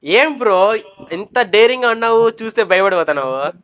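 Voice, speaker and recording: A man's voice: high voice, expressive, moderate pace, fairly bright tone, clean tone, lo-fi sound. A man chatting casually and coaxingly as a prank host, mixing in English words. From Kadapa, Andhra Pradesh, India. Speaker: YouTuber hosting a prank on a video chat. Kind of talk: casual banter, giving dares.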